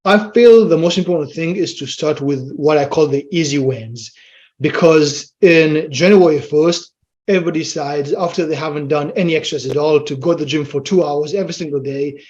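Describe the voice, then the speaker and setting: A man's voice: on the high side, a little fast, darkish tone. A man with an Israeli accent, a fitness trainer chatting easily as a podcast guest and explaining things plainly. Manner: easygoing, explains plainly, quotes himself with "I'm like". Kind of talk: casual interview chat. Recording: slightly muffled lo-fi audio.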